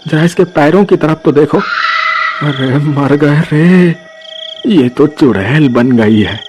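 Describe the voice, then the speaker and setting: A man playing an anxious, melodramatic village husband in an animated story, given to wailing exclamations. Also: a little high, takes it slowly. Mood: wailing panic. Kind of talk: animated Hindi storybook acting. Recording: music behind the voice.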